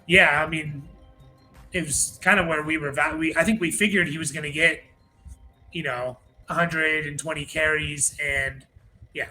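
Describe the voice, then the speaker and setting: Bright-voiced man hosting fantasy football talk. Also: on the high side, rough recording. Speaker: host of a fantasy football show. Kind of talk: casual sports rankings talk.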